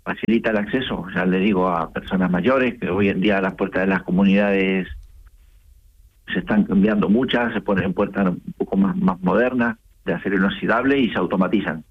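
A man from Argentina with an Argentine accent, phoning in to a radio show and calmly explaining his small business. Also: deep voice, fairly quick, slightly rough, phone-quality sound. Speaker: caller, small business owner. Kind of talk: casual phone chat on radio.

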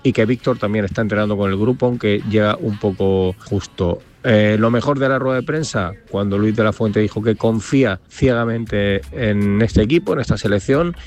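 A man giving a radio sports news report from abroad, steady and unhurried. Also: lowish voice.

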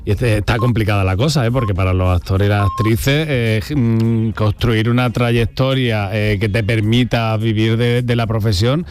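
A man interviewing casually, phrasing his questions in a halting, thoughtful way. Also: lowish voice, steady tone.

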